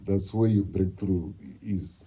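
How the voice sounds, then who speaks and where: A man's voice: deep voice, fairly even intonation, slow pace, dark tone, breathy edge. A man, a musician and producer, speaking as a guest in an interview in an emphatic, lecturing way. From Zimbabwe. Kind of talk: candid interview talk.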